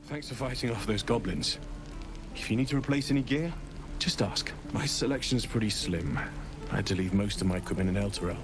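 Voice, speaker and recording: An actor playing a weary, modest refugee blacksmith in a fantasy game, wry and confiding in manner. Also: male voice, lowish voice, fairly quick, music in the background, natural voice. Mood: modest, grateful.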